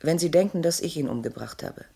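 Husky, low-voiced, guarded woman. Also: lively intonation, quick pace, mellow, heavily acted. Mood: guarded, defiant. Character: shaken but defiant young woman, murder suspect. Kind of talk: German radio crime drama acting.

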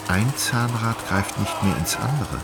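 A man narrating a literary radio drama in an ornate, measured storytelling manner. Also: on the low side, expressive, loud music behind the voice.

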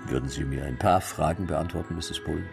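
An actor playing a gruff, sarcastic homicide sergeant who presses witnesses in a radio drama. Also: male voice, extremely low pitch, a little fast, over music. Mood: questioning. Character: gruff middle-aged male homicide sergeant, impatient. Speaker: police detective sergeant. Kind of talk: German radio play acting.

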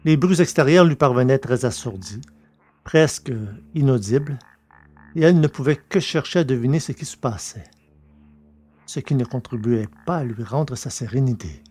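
A man reads scripted science-fiction narration. His voice sits in a middle register. The delivery is measured and literary, like an audiobook. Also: medium pitch, expressive, darkish tone, breathy edge.

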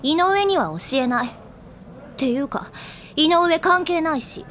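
A woman's voice: high-pitched, animated, unhurried, fairly bright tone, slight background noise. A prickly teenage schoolgirl in an audio drama. She is curt and standoffish, brushing people off with huffy impatience. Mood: curt, annoyed. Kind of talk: Japanese audio drama acting.

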